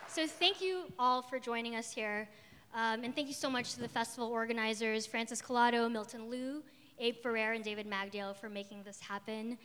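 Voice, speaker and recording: A woman's voice: a little high, steady tone, brisk, a crowd in the background, noisy background. A woman moderating a live panel and podcast, upbeat with laughing asides. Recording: reverberant hall.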